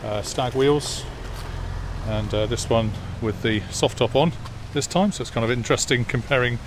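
An Englishman ambles through an outdoor car park, chatting about the vehicles in an easygoing, halting way full of ums and uhs. His voice sits at an ordinary middle pitch. A jet washer and outdoor noise come through clearly behind him on the phone recording. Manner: relaxed, hesitant with many fillers. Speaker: classic car vlogger. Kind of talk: casual walk-around commentary.

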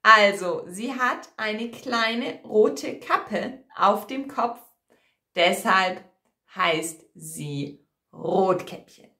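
A young woman teacher telling a story for language learners, deliberate and expressive, in a small room at home. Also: mid-range voice. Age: young adult. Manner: deliberate, clearly expressive, repeats simply. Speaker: German teacher. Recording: roomy indoor echo.

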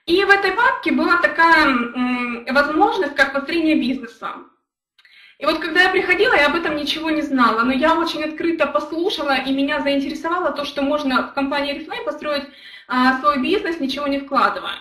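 Brief: young woman, high bright voice, earnest storyteller, roomy echo, a bit off-mic. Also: a little fast, recorded from a distance. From Ukraine. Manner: earnest, storytelling, addresses chat viewers directly. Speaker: network marketing leader. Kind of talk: motivational live stream talk.

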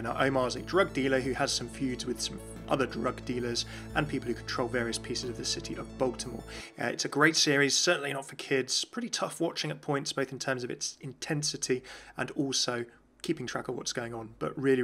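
A man's voice: medium pitch, fairly bright tone. Man, game video host, chatty and upbeat, faint music under the voice. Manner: chatty, enthusiastic, speculative. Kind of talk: scripted commentary voice-over.

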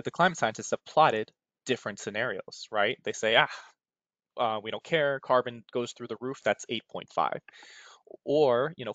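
A man's voice: mid-range voice, fairly bright tone. A man with an American accent gives an informal technical webinar presentation in a conversational way, often checking in with "right?". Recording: roomy, dull lo-fi webinar audio.

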